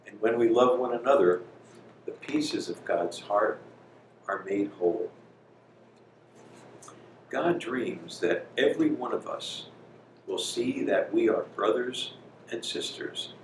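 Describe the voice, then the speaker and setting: Slow-talking man reading to children, roomy sound. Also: on the low side, lively intonation. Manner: gentle, deliberate phrasing addressed to children. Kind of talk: slow storybook reading with simple explanations for children. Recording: roomy, distant microphone, clear background noise.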